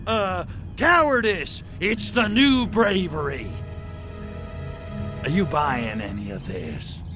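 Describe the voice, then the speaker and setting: Brash alien invader for a game cutscene: American, sardonic, highish swinging pitch, drawn-out delivery. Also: male voice, very animated, music in the background, heavily acted. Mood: scheming, exasperated. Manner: sardonic, slangy American wise guy. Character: brash, wisecracking, cynical male alien invader. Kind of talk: video game cutscene acting.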